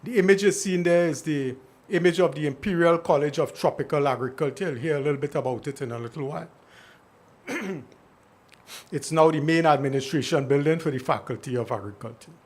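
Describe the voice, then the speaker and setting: A man's voice: a little high, fairly quick, darkish tone. A middle-aged man from Trinidad and Tobago with a Trinidadian accent, giving a conversational keynote presentation at a conference. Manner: conversational, talking to the audience, asks the room questions. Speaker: invited speaker.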